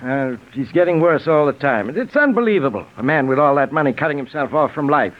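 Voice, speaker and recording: An actor in a 1940s radio drama playing a blunt, exasperated middle-aged lawyer, insistent and indignant. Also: male voice, on the high side, expressive, brisk, close to an everyday voice. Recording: muffled 1940s radio recording.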